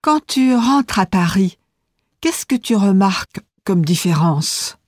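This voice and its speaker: A woman's voice: medium pitch, expressive, slow, no room sound, clean studio sound. A woman reading a scripted listening exam as interviewer and narrator, enunciating carefully.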